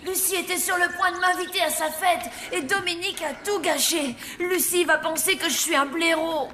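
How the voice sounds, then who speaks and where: A woman's voice: extremely high pitch, fairly even intonation, moderate pace, bright, forceful tone, a little hiss or hum. A woman voicing an awkward, earnest zombie schoolboy in a children's cartoon. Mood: exasperated. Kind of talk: French children's cartoon acting.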